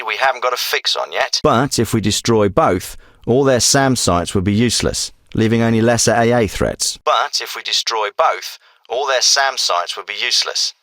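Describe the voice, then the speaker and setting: A man's voice: medium pitch, sharp tone, dry recording. An actor playing a commanding male special forces team leader in a video game, with firm, clipped, businesslike military delivery. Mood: matter-of-fact briefing. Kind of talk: scripted military radio dialogue.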